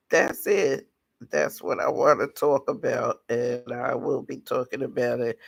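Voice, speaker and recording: A raspy-voiced man from the United States, hosting a casual livestream talk and speaking in a halting way with many restarts. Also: mid-range voice, no room sound. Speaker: channel host.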